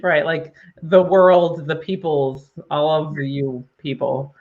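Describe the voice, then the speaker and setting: A woman in her thirties co-hosting a casual chat, rambling with quick asides and giggling often. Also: sits low. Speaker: podcast co-host. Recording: dull lo-fi sound.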